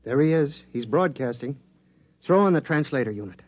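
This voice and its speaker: A male actor plays an excitable space-project technician in a 1950s radio drama. His voice sits a little high and moves animatedly as he explains things conversationally, sometimes repeating himself. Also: moderate pace, slight background noise, natural voice. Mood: eager, explaining. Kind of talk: 1950s science fiction radio acting.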